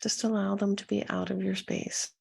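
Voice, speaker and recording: Husky, creaky woman guiding meditation. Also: medium pitch, level tone. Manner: soothing, gentle, unhurried instruction with pauses. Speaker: meditation coach and guide. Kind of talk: guided meditation, addressing listeners directly.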